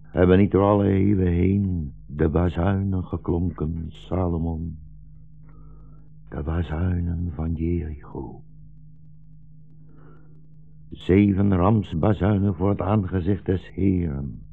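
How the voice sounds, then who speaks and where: An actor playing a weary, resigned old blind rabbi in a 1960s radio drama, measured and formally polite, with a meek irony. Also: male voice, deep voice, fairly even intonation, vocal fry, heavily acted. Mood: solemn musing. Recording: dull 1960s radio recording, music behind.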